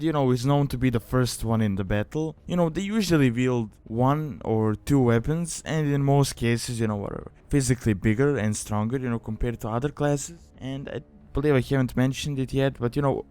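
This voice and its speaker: A man's voice: mid-range voice, a little mellow, clear, resonant tone. A man giving a casual, explanatory tutorial voice-over as a video host, rambling and leaning often on filler phrases. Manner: rambling, peppered with "you know" and "like". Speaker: guide host, gaming video maker.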